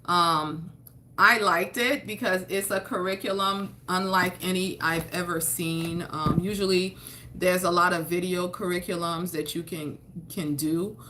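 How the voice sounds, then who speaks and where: A woman's voice: fairly low pitch, steady tone, measured pace, pushed and bright, slight background noise. A woman in her forties, a homeschooling mother from Haiti whose first language was French, chatting casually to camera with frequent restarts. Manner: chatty, restarts and repeats words.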